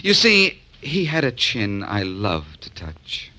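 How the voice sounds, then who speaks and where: A flippant, tough amateur sleuth for 1940s radio drama. Low, animated, wry. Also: male voice, takes it slowly, soft background music, heavily acted. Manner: wry, wisecracking. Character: flippant, cocky tough-guy amateur detective.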